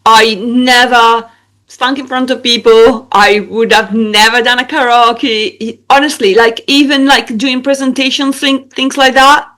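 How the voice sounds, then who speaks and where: A woman's voice: a little high, steady tone, measured pace, a bit bright. A young woman with an Italian accent, a singer-songwriter chatting casually in an interview, with lots of fillers and hesitations. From Italy. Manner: laughs easily, many fillers and hesitations.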